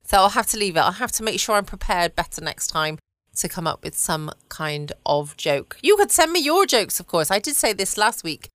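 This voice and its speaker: A woman hosting a relaxed late-night bedtime podcast, chatting from home. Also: mid-range voice, moderate pace, dry recording, clean studio sound. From United Kingdom. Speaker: podcast host. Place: at home, dry quiet room.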